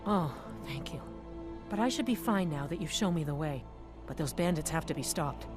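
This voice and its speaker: A woman's voice: sits low, animated, moderate pace. A woman voicing a frightened, lost woman who escaped from bandits, pleading with breathless relief in a video game. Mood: grateful. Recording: game audio with loud music.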